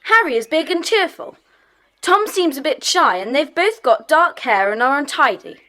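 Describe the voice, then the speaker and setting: A keen schoolchild in an audio play. The voice is high, bright and forceful, with very lively swings of pitch. The child is chatty and eager for adventure. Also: female voice, normal speed. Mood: cheerful. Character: eager schoolchild in a mystery club. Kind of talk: children's audio drama acting.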